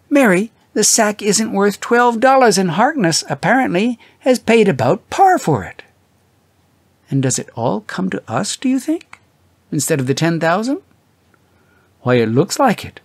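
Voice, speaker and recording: A man voicing an excitable, worried older wife in an audiobook, questioning and exclaiming with tender concern. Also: breathy edge, no room sound, heavily acted. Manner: higher-pitched, questioning, exclaiming.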